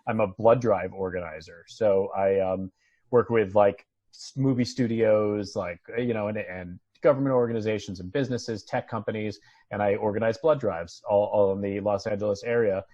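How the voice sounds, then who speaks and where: A man's voice: on the low side, steady tone, normal speed, a little mellow, no echo, muffled recording. An American man chatting casually on a video call in a rambling, conversational way. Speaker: actor and guest on a video call. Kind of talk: casual chat. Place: at home on an online video call.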